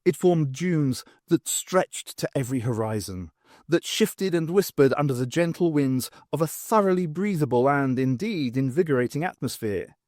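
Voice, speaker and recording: Lively male audiobook narrator. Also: a little high, normal speed, no room sound, studio quality. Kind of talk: scripted narration of a science fiction novel.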